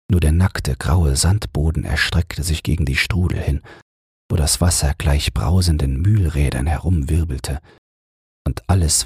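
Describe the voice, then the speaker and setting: A man reading a fairy tale as a calm bedtime audiobook narrator. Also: low-pitched, breathy edge, no room sound, studio quality.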